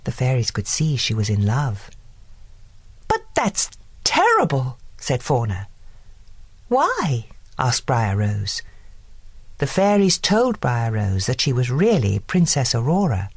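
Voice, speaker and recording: A woman narrating a scripted bedtime story for children in an expressive, deliberate storytelling manner. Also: a bass voice, husky, no echo. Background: music.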